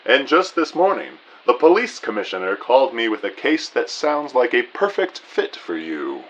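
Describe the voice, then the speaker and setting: A man playing a jovial, courteous agency boss with a booming voice and pompous, formal phrasing in an audio drama. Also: sing-song, normal speed, fairly bright tone, lo-fi sound. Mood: genial, businesslike.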